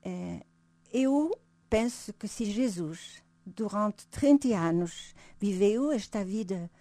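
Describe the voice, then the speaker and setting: Slow, thoughtful woman. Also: mid-range voice, expressive, dark tone, no room sound. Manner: thoughtful, searching for words, frequent "hein?" tags. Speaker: religious sister, radio guest. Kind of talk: reflective conversational explanation.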